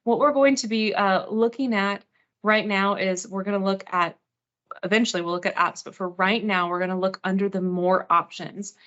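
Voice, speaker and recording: Slightly muffled, lo-fi online meeting audio carries a woman with a mid-pitched voice. She leads a live software walkthrough as host. Her delivery is informal and chatty, self-deprecating about herself and reassuring toward those following along. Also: fairly even intonation, moderate pace. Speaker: webinar host and software trainer.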